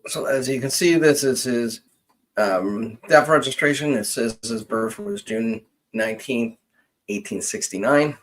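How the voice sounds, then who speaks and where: A man hosting a casual genealogy stream, talking in a halting way as he thinks aloud during a screen-share. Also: mid-range voice, rough recording.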